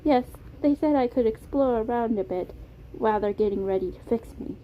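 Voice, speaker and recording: Female reader as timid, kindly British animatronic male for story narration; lifted pitch, phone-like sound. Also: a little fast, natural voice. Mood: shy, apologetic. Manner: soft-spoken, polite, hesitant. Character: shy, polite male animatronic, ragged and gentle. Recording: tinny phone-like audio, clear noise.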